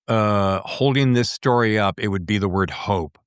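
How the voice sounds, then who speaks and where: A man's voice: on the low side, moderate pace, clear, resonant tone, no room sound, studio quality. An American man hosting a podcast interview, chatting casually with asides to his guest and the listeners.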